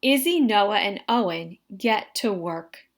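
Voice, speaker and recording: A woman reading a children's picture book aloud in an animated, dramatic way, drawing out words for effect. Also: mid-range voice. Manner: expressive, dramatic delivery with drawn-out words. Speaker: story reader.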